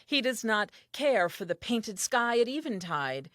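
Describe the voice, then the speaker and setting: An actress playing a curious, wonder-filled Eve in a comic diary-style audio play, speaking earnestly and theatrically. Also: female voice, fairly high pitch, big pitch swings, unhurried, fairly bright tone, no room sound, clean studio sound. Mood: mildly reproachful.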